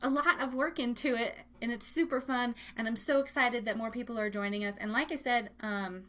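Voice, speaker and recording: High-voiced woman chatting as live host. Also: fairly even intonation, a little fast, sharp tone. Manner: playful, rambling, self-interrupting, addresses listeners directly. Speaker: live radio and podcast host. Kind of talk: casual live show chat with chat room.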